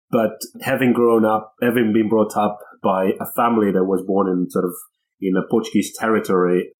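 A man's voice: fairly low pitch, darkish tone, rough recording. A middle-aged man from Angola speaking as a podcast guest, telling his life story in a reflective, conversational way.

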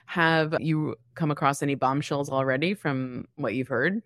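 A woman co-hosting a podcast in casual chat, dropping wry, deadpan asides. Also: low-pitched, normal speed, no room sound, studio quality. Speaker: podcast co-host.

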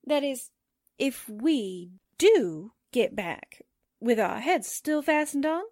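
A woman's voice: high-pitched, animated, slow pace, a little mellow, no room sound, studio quality, exaggerated voice. A woman playing a sardonic, reluctant sidekick to a newspaperwoman, with wry 1940s radio drama delivery. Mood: wry, resigned.

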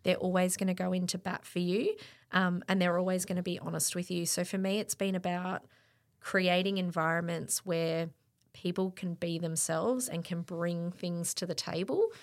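A woman's voice: on the low side, steady tone, normal speed. A woman in her thirties with an Australian accent, speaking candidly and reflectively as a guest in a casual podcast interview. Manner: candid and reflective, with frequent fillers. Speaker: interview guest, sports event operations director.

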